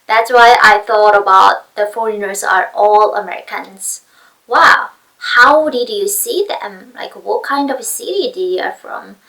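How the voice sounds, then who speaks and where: A woman from North Korea shares a personal account in English with a Korean accent. She speaks slowly and haltingly, often searching for words, at a medium pitch. The microphone picks her up from some distance. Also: sharp tone. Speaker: speaker telling their personal story. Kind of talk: personal testimony talk, unscripted. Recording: voice picked up far from mic.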